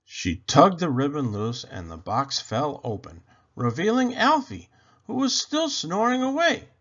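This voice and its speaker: A man reading a children's picture book aloud in a slow, expressive storytelling voice. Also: on the high side. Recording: dull lo-fi sound.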